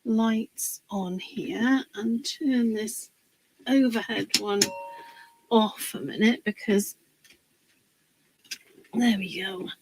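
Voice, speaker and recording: A woman, slightly hoarse from a cold, chatting casually on a livestream while she works on a craft project, pausing with fillers. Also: fairly high pitch, darkish tone, a little hiss or hum, poor audio. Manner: chatty, rambling, pauses with fillers while working.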